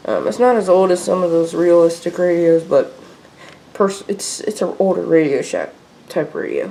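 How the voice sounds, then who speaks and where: A woman's voice: fairly low pitch, expressive, normal speed, gravelly, mellow, rough recording. A woman giving a casual, unscripted show-and-tell in a plain, matter-of-fact way, recorded indoors at home. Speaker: video creator showing a radio collection.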